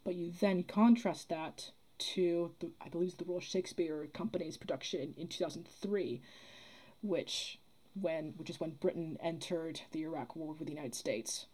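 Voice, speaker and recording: Husky, mellow young woman talking earnestly. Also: sits low, normal speed, low-quality recording. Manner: earnest, rambling, repeats phrases as she builds an argument. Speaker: podcast guest, theatre producer. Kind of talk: casual discussion.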